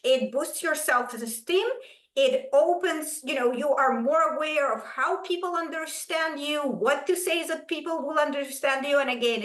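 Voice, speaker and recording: A middle-aged woman from Ukraine with a Russian accent, a native Russian speaker, giving an animated, emphatic presentation to parents. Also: high voice. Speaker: curriculum presenter. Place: school event room. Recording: echoey room.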